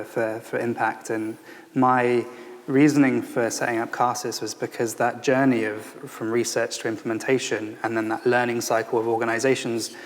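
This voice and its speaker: Flat-toned consultant, measured and hesitant. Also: male voice, mid-range voice. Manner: measured, jargon-heavy, hesitant. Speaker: consultancy founder on a panel. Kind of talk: panel introduction. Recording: long hall reverb.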